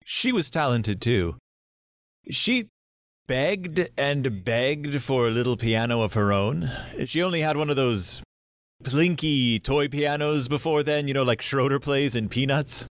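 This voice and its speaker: An actor in an audio drama playing a courteous, lonely grandfather in his seventies, speaking gently and reflectively. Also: mid-range voice, lively intonation, measured pace, no echo, studio quality, natural voice. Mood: wistful, reminiscing.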